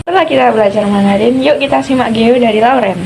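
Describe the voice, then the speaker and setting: A woman's voice: fast talker, vocal fry, a loud crowd. A young woman co-hosting a student podcast in a scripted, conversational educational style. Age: young adult. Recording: noisy, vehicle-like ambient rumble.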